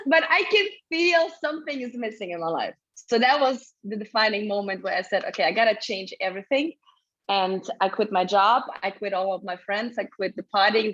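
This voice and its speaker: Guest spot: Ukrainian-accented woman, effusive storyteller, slightly high voice, muffled call audio. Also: fairly bright tone. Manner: effusive, multi-passionate storytelling with laughs. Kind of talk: casual video interview.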